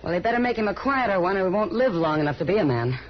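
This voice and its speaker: Actress as a worried frontier woman, swooping pitch. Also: big pitch swings, very fast, natural voice. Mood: worried, exasperated. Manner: frank, concerned, direct. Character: frank, worried frontier saloon woman, grown, quick-witted. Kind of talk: 1950s radio western acting. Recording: dull vintage radio recording with hiss.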